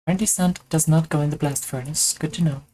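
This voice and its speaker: A woman's voice: sits low, steady tone, quick pace, warm tone. A woman from Germany with a German accent, chatting casually and rambling with self-mocking humor while she streams a game. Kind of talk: casual gaming chat.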